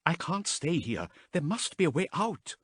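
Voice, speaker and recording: A man voicing a small, fearful male abhuman slave who talks in a hushed, pleading way, pitched well above his own voice. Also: expressive, unhurried, no room sound. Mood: resigned.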